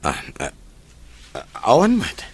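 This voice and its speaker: A man's voice: medium pitch, wildly swooping pitch, takes it slowly, slightly rough, heavily acted. A man with a Filipino accent playing a troubled young husband in a radio drama, evasive and apologetic, speaking hesitantly and withdrawn. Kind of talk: Ilocano radio drama acting.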